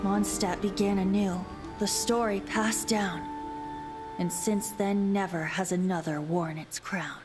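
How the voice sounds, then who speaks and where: A woman's voice: mid-range voice, steady tone, a little slow, a little mellow, lightly acted. Woman as ancient boyish wind spirit, mid-range voice, lilting storyteller, playful, game music underneath. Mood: dramatic, wistful. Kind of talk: video game voice acting.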